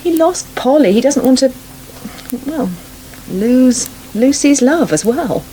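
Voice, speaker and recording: A sympathetic, gently teasing countrywoman chats in a naturalistic radio soap. Her fairly high voice moves freely up and down, over the faint noise of a 1980s broadcast. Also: lively intonation, takes it slowly, low-quality recording. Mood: gently reassuring. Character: chatty, sympathetic countrywoman confiding in a friend.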